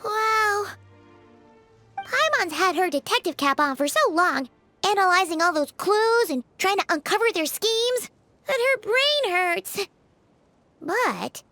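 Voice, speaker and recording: A woman voicing a tiny, excitable girl companion who refers to herself in the third person, in a game cutscene. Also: very high voice, takes it slowly, dry recording, soft background music, exaggerated voice. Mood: thoughtful.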